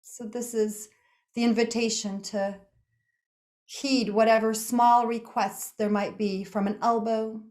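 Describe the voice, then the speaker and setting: A woman leading a guided meditation in a soothing, unhurried manner, speaking in short phrases with pauses between them. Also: fairly high pitch, little pitch movement, a little mellow. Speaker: yoga nidra meditation guide.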